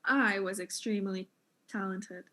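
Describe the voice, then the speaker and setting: A woman's voice: medium pitch, steady tone, measured pace, pushed and bright. A woman playing a vain, catty space fashion designer in a mystery drama, self-important and theatrical. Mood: boastful. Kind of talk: acted mystery audio drama.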